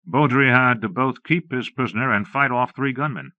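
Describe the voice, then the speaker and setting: A man's voice: mid-range voice, moderate pace, dry recording. A man reading scripted western narration for an audiobook, recorded close and dry on a slightly dull cassette.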